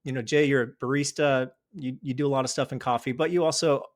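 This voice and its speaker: A man's voice: medium pitch, steady tone, fast. A man hosting a casual interview, upbeat and encouraging, with some "um" fillers. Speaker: podcast host.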